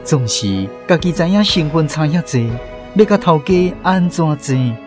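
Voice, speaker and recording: Narrator: Taiwanese man, fairly high voice, lively swinging intonation, radio drama storytelling. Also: measured pace, over music.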